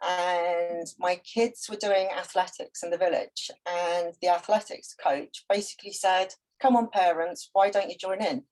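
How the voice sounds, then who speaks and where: A woman from Britain, a guest ultrarunner, talking about herself in a relaxed, chatty way on a video call. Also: fairly low pitch, measured pace, hardly any pauses, low-quality recording. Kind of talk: conversational interview.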